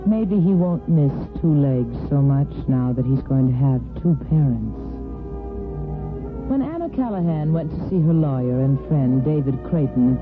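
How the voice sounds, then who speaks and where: An American woman narrating a 1950s radio soap opera as its storytelling host. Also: low voice. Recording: muffled vintage radio broadcast, music behind.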